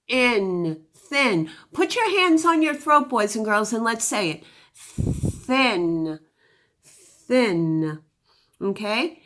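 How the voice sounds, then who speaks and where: A woman teaching a phonics lesson to young children, giving deliberate instructions and sounding out words. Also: a little high, a bit bright.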